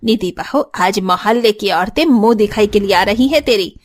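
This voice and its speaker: A woman's voice: medium pitch, normal speed, lightly acted. A woman playing a boastful, condescending rich middle-aged mother-in-law in a cartoon story. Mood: bossy.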